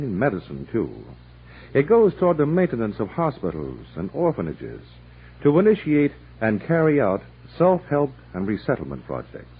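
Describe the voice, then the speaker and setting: Male radio announcer, low husky voice, wide expressive swings, formal, measured, thin old broadcast sound. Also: narrow, tinny audio.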